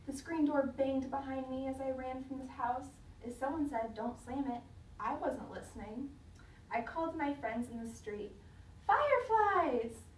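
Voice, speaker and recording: A woman teacher reading a children's story aloud in a dramatic, expressive way, pausing to question her listeners. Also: sits high. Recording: roomy, distant mic, faint noise.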